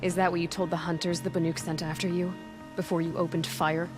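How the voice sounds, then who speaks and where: Woman doing a frank young game huntress, lowish voice, dry and understated, music behind her. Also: level tone, fairly quick, natural voice. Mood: wry, challenging. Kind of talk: video game cutscene dialogue.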